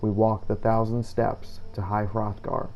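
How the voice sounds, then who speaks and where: A man reading a scripted in-character journal of a fantasy game playthrough in a solemn, measured storytelling manner. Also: on the low side, flat delivery, highs cut off, faint music behind. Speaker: player narrating a role-play playthrough.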